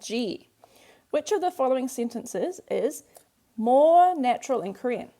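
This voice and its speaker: A woman's voice: high voice, animated, a little slow, warm tone. A woman whose first language is English, teaching a workbook lesson and patiently working through examples step by step. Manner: patient, walks through examples step by step, repeats key points.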